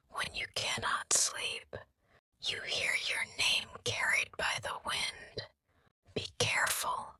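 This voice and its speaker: A woman narrating a gothic bedtime story in a slow, breathy ASMR whisper. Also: very deep voice, bright, forceful tone, dry recording.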